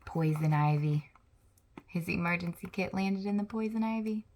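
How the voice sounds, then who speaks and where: A young adult woman reading a children's picture book aloud, lively and expressive, with chatty asides to young listeners. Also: lowish voice, moderate pace, a little hiss or hum.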